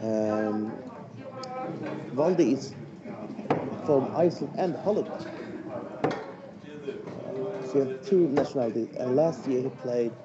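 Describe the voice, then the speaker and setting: A man's voice: medium pitch, lively intonation, slow pace, pauses often, highs cut off, crowd noise. A man commentating darts live in joking, chatty English that is not his first language, with small grammar slips. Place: home, concert noise nearby.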